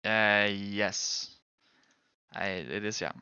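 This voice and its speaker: A man's voice: fairly low pitch, steady tone, drawn-out words, sharp tone, clean tone, dry recording, highs cut off. A man whose first language is Danish, chatting casually with viewers while gaming as a streamer, rambling and restarting his sentences.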